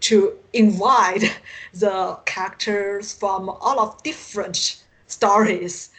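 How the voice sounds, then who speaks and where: A woman's voice: medium pitch, a bit bright. A woman from China with a Chinese accent, a native Mandarin speaker, talking as an interview guest and often searching for words. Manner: halting, searching for words, frequent 'like'. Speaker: interview guest, science fiction writer. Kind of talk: conversational interview.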